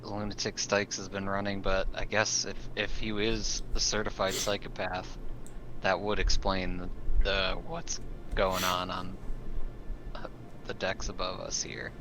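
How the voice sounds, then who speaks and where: A man's voice: low voice, completely flat delivery, measured pace. A man playing a tabletop game, speaking casually in character with ambient noise behind him. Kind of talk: casual roleplay talk. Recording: clearly noisy, ambient sound behind.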